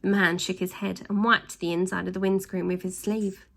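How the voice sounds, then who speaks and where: A woman reading a children's storybook aloud, with lively voices and sound effects. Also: medium pitch, moderate pace, gravelly. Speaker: storybook reader.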